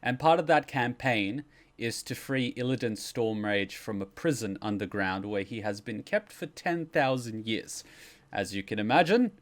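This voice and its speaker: A man's voice: fairly low pitch, lively intonation, moderate pace. A man explaining a game's story in casual, chatty, lightly joking reaction commentary. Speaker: streamer explaining game story.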